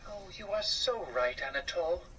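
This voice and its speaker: A man voicing a sad, gentle, devoted mouse wife in a children's storybook, with a resigned manner. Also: high voice, expressive, normal speed, pitched above the performer's own voice. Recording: slightly distant mic, faint noise.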